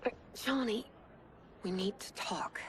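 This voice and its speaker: An actress playing a blunt, sarcastic, exasperated wife in a video game cutscene. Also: female voice, medium pitch, slow, slight background noise, close to an everyday voice. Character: exasperated, practical wife of a fading star.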